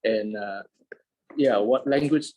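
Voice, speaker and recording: A young man from Medan whose first language is Hokkien, answering interview questions in a halting way with many pauses. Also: lowish voice, warm tone, slight background noise. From Medan, Indonesia. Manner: hesitant, many "uh" fillers. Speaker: interviewee, polyglot.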